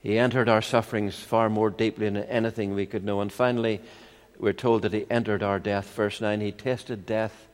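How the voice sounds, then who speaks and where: An older man with a Northern Irish accent preaching a sermon in a measured, expository way, with rhetorical questions and personal anecdotes. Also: fairly low pitch. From Ireland. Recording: long hall reverb.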